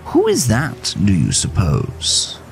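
A man voicing an elegant, eerily composed talking horse in a horror story, musing and deliberate. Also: fairly low pitch, wildly swooping pitch, gravelly, soft background music, pitched above the performer's own voice, full character voice. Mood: coolly curious.

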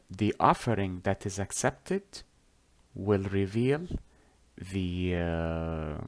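A man whose first language is Arabic tells a religious story as a lesson, talking deliberately with many pauses and self-corrections. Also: deep voice. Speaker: religious storyteller teaching on a whiteboard.

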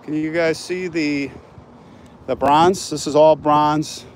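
A man's voice: on the high side, steady tone, takes it slowly. An older man with an American accent chatting casually to live-stream viewers, hopping between remarks as he walks. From United States. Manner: chatty, jumping between remarks while walking. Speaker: vlog host. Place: outdoors in a large open cemetery. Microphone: handheld phone at arm's length. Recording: noisy outdoor urban ambience.

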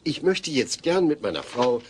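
A man's voice: on the high side, animated, moderate pace, noisy background. A man playing a fussy, dry, put-upon husband and father in a German sitcom radio play. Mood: exasperated.

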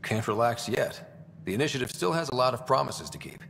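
An actor voicing a steady young male explorer leader in a video game who gives brief, level replies. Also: medium pitch, a little fast, creaky, long reverb tail, a little hiss or hum. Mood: calm. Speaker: Pathfinder, player character.

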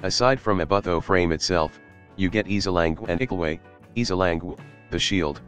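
Male reviewer, very low voice, relaxed scripted delivery with the odd curse, faint music bed. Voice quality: casual, swears for emphasis. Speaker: YouTube game reviewer. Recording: light guitar music behind.

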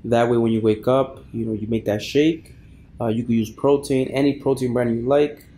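A man's voice: medium pitch, fairly even intonation, normal speed, mellow, slight background noise. A man giving an informal how-to cooking voice-over as a fitness vlogger, addressing viewers casually.

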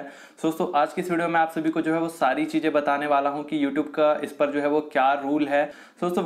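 A man speaks as a tech video host. His voice sits a bit high. He addresses viewers directly and explains step by step, leaning on repeated filler phrases. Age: 20s. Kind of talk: explainer to camera. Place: small room indoors.

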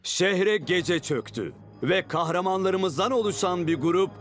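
A man's voice: high-pitched, animated, a little slow. A man playing a dramatic narrator who theatrically announces the heroes in a superhero cartoon intro. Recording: clear music behind the voice.

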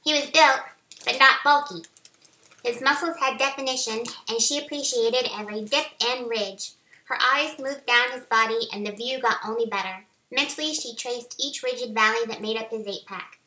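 A woman reads romance fiction aloud with a helium-affected voice. It is highish and sharply bright, with the roomy echo of a home recording. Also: normal speed. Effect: voice raised by helium. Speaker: author reading own novel. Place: small home office, indoors. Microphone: webcam at arm's length.

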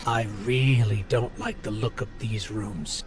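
A man playing a small, earnest, anxious robot companion in a video game, with an urgent, expressive delivery. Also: lowish voice, measured pace, lightly acted. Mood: wary, defiant. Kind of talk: dramatic video game voice acting. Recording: voice under loud game music.

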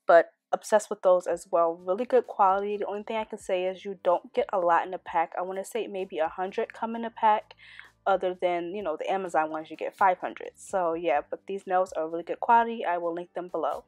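A woman's voice: lowish voice, no room sound. A young woman recording a chatty nail tutorial voice-over, talking straight to her viewers and sounding a bit nasal from a cold. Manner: chatty, enthusiastic, addresses viewers as you guys. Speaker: beauty vlogger.

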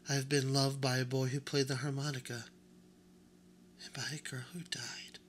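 A man voicing a proud, wounded male toy rabbit, speaking softly and with restraint. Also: flat delivery, moderate pace, slight background noise, close to an everyday voice. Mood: proud, weary. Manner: soft, low and restrained.

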